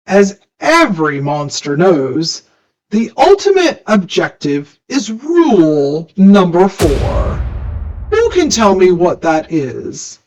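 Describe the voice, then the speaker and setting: A woman voicing a stern, formal male monster judge in a children's storybook, speaking in a pompous, deliberate way. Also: on the low side, big pitch swings, darkish tone. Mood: instructive.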